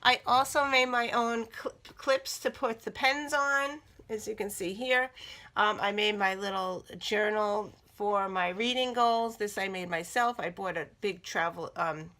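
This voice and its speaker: A middle-aged woman, slightly raspy from a cold, chatting casually to camera about her books and journals, rambling with many ums and restarts. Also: mid-range voice, unhurried, sharp tone. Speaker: book vlogger. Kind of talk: casual chat showing items to camera.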